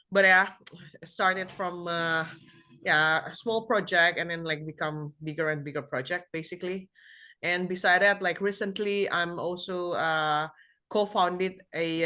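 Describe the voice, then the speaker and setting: A woman from Indonesia, introducing herself as a guest speaker on a video call, halting and often searching for words. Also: on the low side, sharp tone. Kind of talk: self-introduction in an online session.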